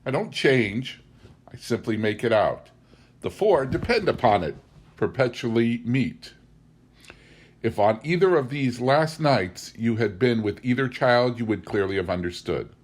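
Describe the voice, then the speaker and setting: A man reads the part of an agitated young governess in a gothic storybook. The speech is insistent and fervent, with emphatic stresses and wide, animated swings of pitch. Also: measured pace. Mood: fervent insistence. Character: intense, agitated governess, an anxious young woman.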